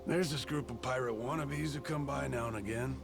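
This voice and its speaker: A man's voice: mid-range voice, fairly quick, lightly acted. An actor playing an unhelpful local boatman in a video game, offhand and mocking in his delivery. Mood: dismissive, mocking. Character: unhelpful, dismissive local boatman on a remote island. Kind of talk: video game cutscene acting. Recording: music clearly behind the dialogue.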